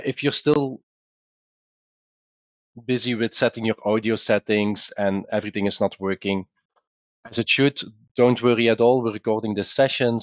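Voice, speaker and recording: A man with a Belgian accent, a company founder presenting a webinar in a conversational, explanatory way, often pausing on "uh" and restarting sentences. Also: on the low side, no room sound, lo-fi sound.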